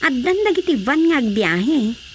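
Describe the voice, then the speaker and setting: An everyday Ilocano housewife talking in a radio drama. Her pitch is notably high, and faint crickets chirp in the background. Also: very animated, unhurried, a little hiss or hum. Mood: conversational.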